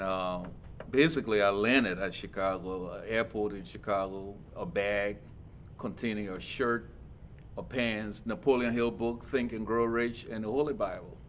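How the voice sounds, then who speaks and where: Middle-aged man from Liberia, low and earnest, reflective storyteller; slight background rumble. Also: a bit bright. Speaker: interview guest. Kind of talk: casual interview.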